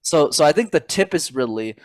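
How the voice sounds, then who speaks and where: A man guesting on a podcast as a public speaking coach, talking in an animated, motivational way and often calling the host by name. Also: a little high, a little fast, dry recording, studio quality. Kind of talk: casual interview chat.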